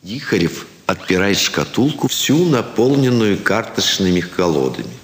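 A man's voice: sits low, very animated, moderate pace. Male drama narrator in an echoey hall. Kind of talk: scripted stage-direction narration. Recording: echoey hall with faint noise.

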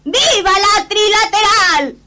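A woman's voice: extremely high pitch, expressive, takes it slowly, noisy background, heavily acted. A woman voicing an ugly, greedy, wicked electric goblin witch from a children's puppet show, declaiming in theatrical rhymes with evil cackles. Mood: gleeful villainy.

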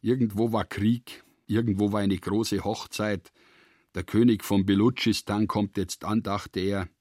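A man narrating literary radio drama in a measured, sober manner. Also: on the low side, steady tone, a little mellow, no room sound, clean studio sound.

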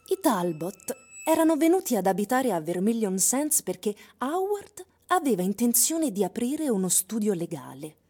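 A woman narrating a literary radio drama in measured, expository storytelling phrases. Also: a little high, very animated, darkish tone, breathy edge. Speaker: actress narrator.

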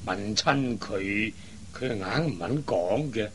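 A man's voice: lowish voice, animated, slow. A man playing a worried, hesitant elderly father in a radio drama, often sighing. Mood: puzzled, troubled. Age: older. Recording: faint background noise.

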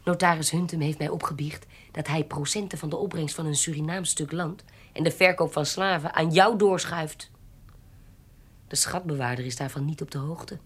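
An actress playing a proud, defiant young woman in her twenties in a period radio drama, commanding, accusing and sharp-tongued. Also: sits low, normal speed, slightly rough. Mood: cool, threatening. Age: 20s.

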